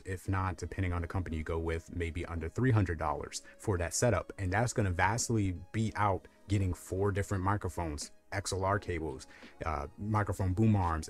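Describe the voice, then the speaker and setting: Young man, very low deep voice, rambling casual review, lapel mic, soft music underneath. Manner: rambling, frequent fillers. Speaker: tech reviewer. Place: small room lit with colored lights.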